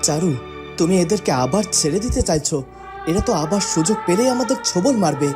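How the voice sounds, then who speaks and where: A man's voice: high-pitched, lively intonation, brisk. A man playing a stern, vengeful young prince in a fairytale cartoon, commanding and accusing in a dramatic way. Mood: indignant. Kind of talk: melodramatic fairytale cartoon acting. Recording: music under the voice.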